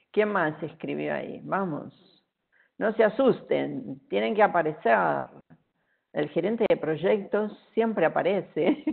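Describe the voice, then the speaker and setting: A middle-aged Argentine woman with a Portuguese-tinged accent, giving a relaxed, chatty webinar talk with many fillers over a video call. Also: lowish voice, vocal fry. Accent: Argentine Spanish, with Portuguese influence. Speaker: guest speaker. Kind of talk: webinar presentation. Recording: tinny phone-like online call audio.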